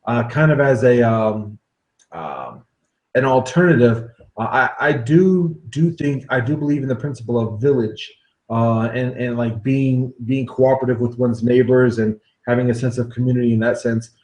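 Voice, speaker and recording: A man's voice: mid-range voice, darkish tone. Roomy-sounding man hosting a podcast. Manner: thoughtful, halting, many fillers and restarts. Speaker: podcast host. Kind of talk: conversational podcast interview. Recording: roomy echo.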